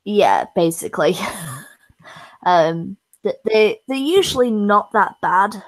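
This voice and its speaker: A woman streamer chatting casually with supporters, hoarse from losing her voice, talking haltingly with whispered asides. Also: mid-range voice, lively intonation. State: hoarse, has lost her voice. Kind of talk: casual chat.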